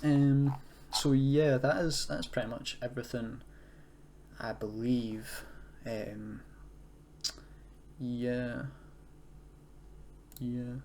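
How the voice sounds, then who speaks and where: A man's voice: mid-range voice, level tone, extremely slow, long pauses, darkish tone. A young man giving a casual, unscripted coding tutorial, explaining in a hesitant, self-correcting way. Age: young adult. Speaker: tutorial presenter, university student. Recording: clear background noise, keyboard typing. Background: computer keyboard typing and noise.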